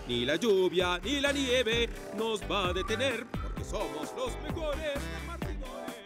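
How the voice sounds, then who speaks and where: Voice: medium pitch, very animated, takes it slowly. An actor voicing a friendly, scatterbrained adult male delivery motorcycle in a children's cartoon, muttering and exclaiming. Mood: scatterbrained, cheerful. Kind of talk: animated children's show dubbing. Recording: music behind the voice.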